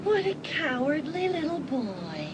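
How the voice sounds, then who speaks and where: Woman acting a high-voiced, teasing bold teenage girl. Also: very animated, a little slow, noisy background. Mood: taunting. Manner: teasing, provocative. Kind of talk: dramatised audiobook acting. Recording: music behind the voice.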